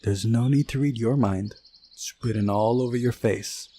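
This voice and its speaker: A man's voice: medium pitch, normal speed, dark tone, husky, close to an everyday voice. A man voicing a brash, prickly teenage girl in a visual novel, blunt and defiant. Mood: huffy defiant. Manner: blunt, snappy.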